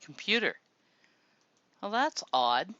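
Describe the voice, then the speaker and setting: A man hosting a casual voice-chat show, relaxed and helpful, talking through people's posts. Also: sits high, slow pace, no room sound, muffled recording. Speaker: show host.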